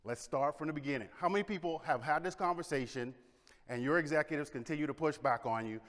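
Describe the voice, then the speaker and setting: Energetic man in reverberant hall. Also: on the high side, quick pace. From Bahamas. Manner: energetic, engages audience with questions and shows of hands. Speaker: conference speaker. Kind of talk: conference presentation. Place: large conference hall.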